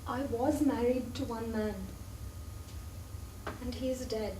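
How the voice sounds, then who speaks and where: A proud, wounded woman played by an actress in a theatre drama, guarded and defensive about an old love. Her voice is high, heard from far off in a roomy, echoing space. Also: fairly even intonation, slow. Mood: guarded, sombre. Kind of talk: theatrical stage play acting. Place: theatre stage, recorded from far off. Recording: distant echoey stage recording, faint noise.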